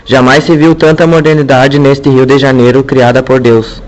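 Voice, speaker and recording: A man's voice: mid-range voice, level tone, moderate pace, lightly acted, rough recording. A man voicing a pompous city mayor in a radio drama, speaking formally as if proclaiming.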